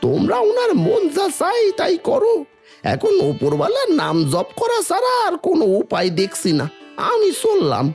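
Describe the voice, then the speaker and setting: A woman acts out a village medicine man from a cartoon folk tale. The voice is very high and swings widely in pitch, yet the manner is solemn and resigned, with faint music underneath. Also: huge pitch swings, moderate pace, a little mellow, heavily acted. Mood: grave, resigned.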